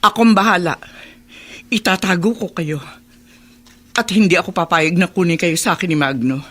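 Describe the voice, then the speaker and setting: A woman's voice: on the low side, moderate pace, a bit bright. An actress playing a protective, resolute grandmother sheltering her family in a radio drama.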